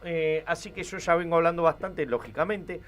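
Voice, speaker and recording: A man's voice: on the high side, brisk, fairly bright tone. An Argentine man hosting a casual radio interview in a hesitant, rambling way. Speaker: radio host.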